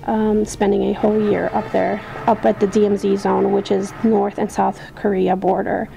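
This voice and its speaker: A woman's voice: mid-range voice, level tone, normal speed, creaky, mellow, rough recording. A young adult woman from Poland, an army veteran, answering interview questions hesitantly with many ums and restarts.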